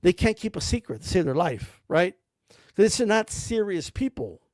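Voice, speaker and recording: A man's voice: high voice, very animated, normal speed, darkish tone, dry recording, studio quality. A man giving blunt, rambling commentary about boxing, full of slang and cursing. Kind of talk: casual ranting commentary.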